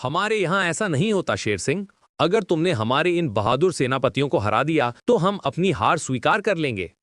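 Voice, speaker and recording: A man acts as a clever, far-sighted billy-goat king in a cartoon fable. The voice sits fairly high and is regal and confident, recorded dry. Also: very animated, moderate pace, a bit bright, no echo. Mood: mocking, defiant. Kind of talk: animated moral story dialogue.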